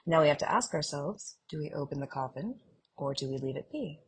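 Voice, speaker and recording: Expressive low-voiced woman, slightly husky. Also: brisk. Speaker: podcast co-host. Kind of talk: casual fan podcast chat.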